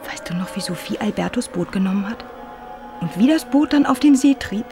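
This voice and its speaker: Teenage daughter female actor, radio drama: wondering, hushed, mid-pitched, faint music underneath. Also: animated, fairly quick, close to an everyday voice. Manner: hushed, often breathy and whispered. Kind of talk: German radio drama acting.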